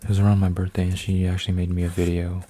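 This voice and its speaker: A man in his twenties, an ASMR creator, rambling softly with lots of fillers and restarts while folding paper, sometimes dropping to a whisper. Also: low-pitched, completely flat delivery, very fast, slight background noise. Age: 20s. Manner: meandering, full of fillers and restarts. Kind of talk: soft-spoken rambling ASMR.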